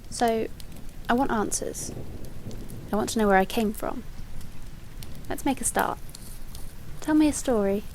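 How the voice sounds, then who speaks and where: A woman's voice: a little high, a little slow, pauses often, a little mellow, a little hiss or hum, low-quality recording. An actress playing a mysterious, impatient visitor in an audio drama, blunt and insistent as she demands answers. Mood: demanding. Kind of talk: dramatic audio fiction dialogue.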